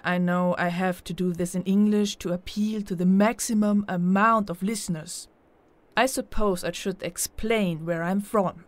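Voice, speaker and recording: A determined podcast host from the forest played by a woman, with a Scandinavian accent and a medium-pitched voice. She talks in a brisk, matter-of-fact way, as in an audio drama. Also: a bit bright, lightly acted. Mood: exasperated, resigned.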